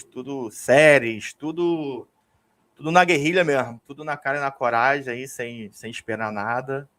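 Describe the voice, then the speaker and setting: A filmmaker from Rio de Janeiro with a Carioca accent, talking about his work in casual, energetic slang. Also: mid-range voice. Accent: Carioca Brazilian Portuguese. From Rio de Janeiro, Brazil. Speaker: filmmaker guest.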